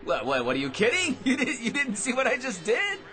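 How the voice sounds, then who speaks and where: An actor in an old-time radio drama playing a boastful, excitable barfly who chatters in wheedling slang. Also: male voice, extremely high pitch, fairly quick, full character voice. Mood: excited. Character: boastful, excitable, talkative male barfly with a magic gizmo.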